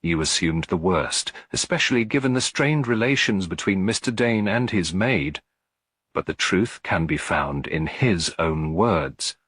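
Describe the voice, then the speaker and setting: A man voicing a calm, shrewd elderly lady detective in an audiobook, with a measured, gentle delivery. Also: deep voice, slightly rough, dry recording, full character voice. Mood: gentle, reassuring. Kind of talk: audiobook character dialogue.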